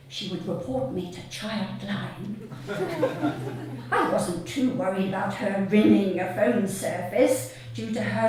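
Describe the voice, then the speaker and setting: A woman reading her comic short story live to an audience, in a deadpan, measured way with deliberate pauses. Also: mid-range voice. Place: theatre stage at a live reading event. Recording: echoey hall, distant mic, audience noise. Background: audience laughter.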